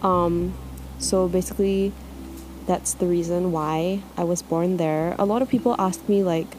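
A young woman from Scotland co-hosting a casual podcast, chatty with lots of fillers and quick asides. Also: on the low side, fairly even intonation, darkish tone, rough recording. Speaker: podcast co-host. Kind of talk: casual chat. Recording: faint laptop fan noise.

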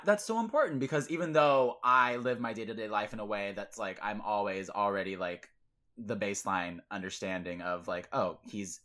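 A man's voice: lowish voice, bright, forceful tone. A man hosting a podcast, talking earnestly and casually with many fillers and restarts. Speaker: podcast host. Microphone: podcast mic.

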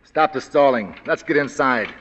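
A man playing a gruff impatient thug. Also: medium pitch, moderate pace, background noise, lightly acted. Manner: gruff, blunt, ungrammatical. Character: huge blunt male thug, impatient and menacing. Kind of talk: 1940s radio acting. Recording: dull old radio broadcast with hall reverb.

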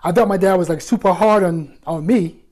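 A man's voice: high voice, a little fast, a little mellow. A man in a casual group chat, giving his opinions in colloquial speech.

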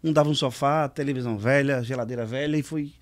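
A man's voice: mid-range voice, steady tone, quick pace. A man from São Paulo, a musician guest telling family stories in casual chat. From São Paulo, Brazil. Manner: laughs easily, rambling anecdotes. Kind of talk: casual bar-table chat.

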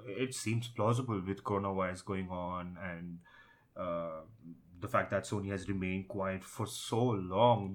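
A man co-hosting a casual discussion about tech and gaming, speaking in an opinionated, emphatic way. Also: fairly low pitch. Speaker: podcast co-host.

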